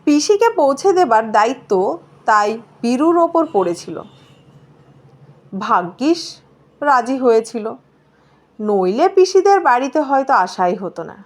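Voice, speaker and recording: A woman narrates a story from a script. Her voice sits high and moves through wide, lively swings of pitch as she reads. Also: unhurried, long pauses. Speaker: story reader.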